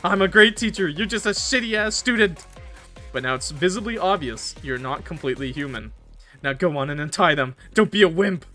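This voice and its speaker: A man plays a feral werewolf woman, bossy and cruel. The delivery is bright and forceful, swinging widely in pitch as it barks threats and goads. Music plays behind it. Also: lively intonation, a little fast. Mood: angry, bossy. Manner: goading, barking, threatening. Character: fierce female werewolf homeowner, bossy, sadistic, feral teacher.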